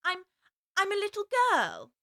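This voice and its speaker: A woman's voice: extremely high pitch, huge pitch swings, measured pace, vocal fry, sharp tone, exaggerated voice. An actress playing a curious, polite and bewildered little girl in an audiobook, earnest and proper as she wonders aloud. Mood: protesting. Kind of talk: full-cast audiobook acting.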